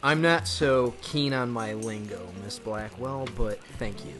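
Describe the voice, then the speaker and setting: An actor playing a wary, composed speakeasy owner in an old-time radio drama, clipped and polite. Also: male voice, mid-range voice, measured pace, music in the background, close to an everyday voice.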